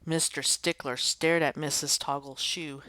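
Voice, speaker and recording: A woman reading a children's picture book aloud with clear, gently expressive narration. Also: sits low, unhurried, no echo. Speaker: storybook reader.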